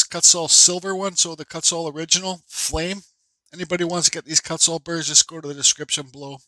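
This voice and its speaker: A man's voice: high-pitched, sharp tone, no echo, rough recording. A congested man casually narrating a carving tutorial at his workshop bench, rambling with fillers and restarts. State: stuffed up, congested. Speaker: woodcarving instructor.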